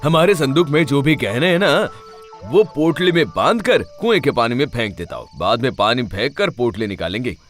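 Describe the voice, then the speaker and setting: A clever, mischievous village husband in a cartoon tale acted by a man. His medium voice moves up and down freely, sly and theatrical, with music clearly behind it. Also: medium pitch, animated, normal speed, fairly bright tone, lightly acted. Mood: mock-serious. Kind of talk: animated cartoon story acting.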